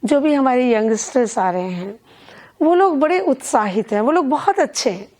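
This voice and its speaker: An older woman being interviewed as a poet and event organiser, speaking in a measured, earnest, deliberate way. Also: high voice, expressive, a little mellow. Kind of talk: reflective conversational interview.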